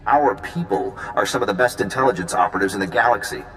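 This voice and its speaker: Deep-voiced, easygoing male spy. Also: animated, fast talker, fairly bright tone, soft background music. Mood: displeased. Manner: casual, smooth-talking, breezy. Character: easygoing flirtatious male intelligence agent. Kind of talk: video game voice acting. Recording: long reverb, faint background noise.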